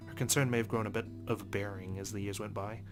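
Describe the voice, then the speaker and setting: A man reading visual novel narration aloud as a let's play host, subdued, with hushed asides. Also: on the low side, fairly even intonation, fast. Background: soft guitar music.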